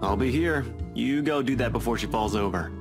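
A man's voice: mid-range voice, moderate pace, over music, pitched above the performer's own voice, natural voice. A man voicing a laid-back, reserved young male bar regular in an offhand, understated way.

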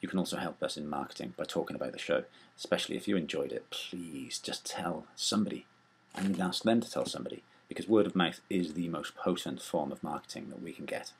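Bloke in his 30s chatting to webcam asking for support, low gravelly voice. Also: fast talker, a little hiss or hum. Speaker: theatre company presenter. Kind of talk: informal appeal to camera. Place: small room at home.

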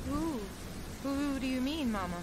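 An actress playing an earnest, worried young daughter in an audio drama, beseeching in manner. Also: female voice, sits high, animated, a little slow, very noisy. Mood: hushed, uneasy.

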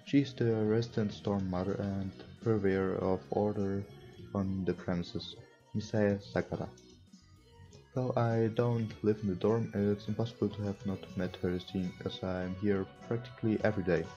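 A man's voice: fairly low pitch, fairly even intonation, moderate pace, warm tone. A young man who is a non-native English speaker, reading game text aloud and commenting casually as a let's player. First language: not English. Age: young adult. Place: small room indoors at a computer. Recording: muffled, with game music. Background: visual novel background music.

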